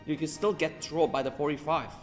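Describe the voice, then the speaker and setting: A man giving casual live gaming commentary, thinking aloud haltingly and repeating his phrases. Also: on the high side, fairly even intonation. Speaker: game streamer. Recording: roomy echo, faint game music behind.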